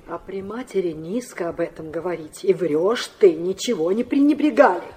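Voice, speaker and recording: An actress playing a blunt, sharp-tongued, middle-aged godmother in an audio drama, gruff and scolding. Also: on the low side, animated, moderate pace, natural voice. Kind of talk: theatrical audio drama acting. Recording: faint noise and ambience behind.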